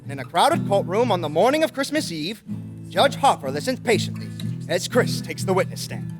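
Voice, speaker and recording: A man's voice: sits high, big pitch swings, brisk. A man narrating a story in scripted old-time radio style. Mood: storytelling. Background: music with plucked guitar.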